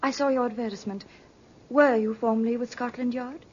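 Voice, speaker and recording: Reserved suspicious wife, husky higher voice, halting and formal, 1950s radio play, muffled recording. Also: female voice, steady tone, darkish tone. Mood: guarded, hesitant. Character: reserved, hesitant wife who suspects her husband. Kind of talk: 1950s radio drama acting.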